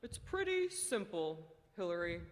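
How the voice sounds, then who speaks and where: A woman voicing a gentle, sleepy monster puppet in a live skit, explaining things patiently in an echoey hall. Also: fairly low pitch, big pitch swings, measured pace, voice pushed down. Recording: echoey hall with long reverb.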